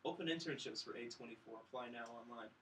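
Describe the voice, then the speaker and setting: A man's voice: medium pitch, fast, pushed and bright, recorded from a distance, slight background noise. A young American man, a film student guest chatting casually in a joking, self-deprecating way. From United States, St. Louis area. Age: young adult. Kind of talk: casual chat.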